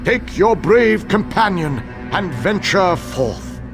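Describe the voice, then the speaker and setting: A man acting a grave, commanding leader in a fantasy game, rallying troops in a solemn, measured, oratorical way. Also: sits high, sing-song, creaky, music in the background, exaggerated voice. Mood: grave, rousing. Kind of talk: scripted fantasy game dialogue.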